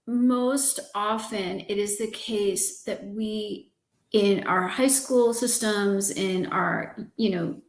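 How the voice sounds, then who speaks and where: A woman's voice: mid-range voice, slow pace, roomy sound, low-quality recording. A woman professor introducing herself on a panel, polite and speaking with frequent "um"s. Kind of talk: panel discussion.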